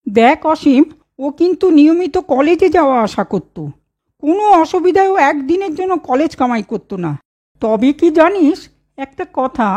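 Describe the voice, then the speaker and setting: A woman's voice: high-pitched, darkish tone. A woman voicing a concerned, protective mother who explains things in a children's cartoon story. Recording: dull lo-fi audio over music.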